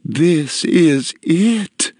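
Man putting on a high, haughty old woman. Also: big pitch swings, no room sound, clean studio sound, exaggerated voice. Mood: sweet, rapturous. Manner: drawn-out, commanding, shrill. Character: imperious, demanding, haughty large older woman customer. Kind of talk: storybook character voice in narration.

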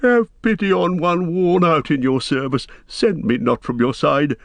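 A man's voice: mid-range voice, sing-song, unhurried, no echo. A man voicing a cringing, sly royal counsellor in a fantasy storybook, whining and wheedling with obsequious politeness. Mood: whining, pleading. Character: cringing, servile, scheming male royal counsellor, sly and pleading.

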